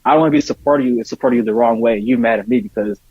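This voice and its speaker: A man's voice: mid-range voice, rapid-fire, a little mellow, husky, no room sound, lo-fi sound. A man speaking casually and earnestly as a podcast guest, often repeating points for emphasis. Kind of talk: casual conversation.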